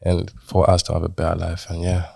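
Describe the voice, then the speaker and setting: Podcast guest: young man from Zimbabwe, low voice, casual British street slang, personal storytelling, dry sound. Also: completely flat delivery, a little fast, vocal fry, darkish tone, dry recording. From Zimbabwe, moved to England in childhood. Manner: colloquial British street slang, frequent "you get me" tags.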